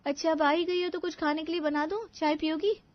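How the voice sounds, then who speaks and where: Woman acting high-pitched exasperated young daughter-in-law. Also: steady tone, a little fast, muffled recording. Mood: sarcastic. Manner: complaining, emphatic, sarcastic. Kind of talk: animated family story acting.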